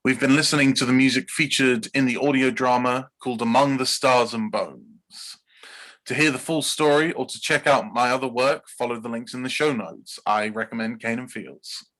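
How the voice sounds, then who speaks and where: A man's voice: mid-range voice, fairly even intonation, moderate pace, a bit bright, poor audio. A man from Bedfordshire with an English accent, reading scripted podcast narration as a guest composer. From Bedfordshire, England.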